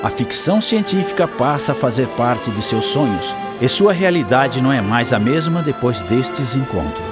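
A man narrating a radio drama in an expressive storytelling manner. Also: mid-range voice, normal speed, few pauses, over loud music.

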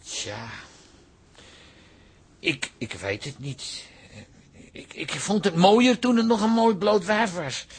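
A man's voice: high-pitched, expressive, takes it slowly, pauses often, husky, exaggerated voice. An actor in a radio play playing a blunt, simple older man, plain-spoken and hesitant. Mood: hesitant, puzzled. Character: blunt, simple older man, naively lewd art viewer. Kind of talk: Dutch radio play acting.

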